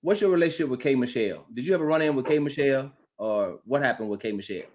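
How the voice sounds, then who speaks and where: A man's voice: mid-range voice, racing, a little mellow. A man hosting a casual interview, teasing his guest and repeating his questions. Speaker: interviewer, host.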